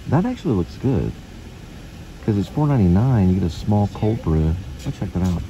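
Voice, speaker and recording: Very low-voiced man rambling on a delivery run. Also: big pitch swings, moderate pace, noisy background. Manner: rambling, offhand, trails off and repeats himself. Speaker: vlogger and delivery driver. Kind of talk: casual talk to camera. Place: in and around a car on delivery rounds.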